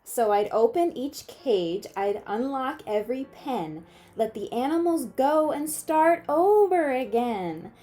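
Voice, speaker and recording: Female storybook reader, slightly high and mellow, playful delivery of rhyming verse, faint music. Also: lively intonation, slow pace. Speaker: teacher reading a picture book aloud.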